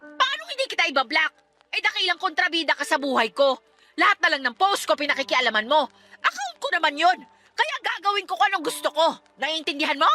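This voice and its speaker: A woman playing a defiant, sharp-tongued estranged wife and mother in a radio drama, sarcastic and combative. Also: sits high, sing-song, a little fast, soft background music, heavily acted. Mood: irritated, dismissive. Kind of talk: Tagalog radio drama acting.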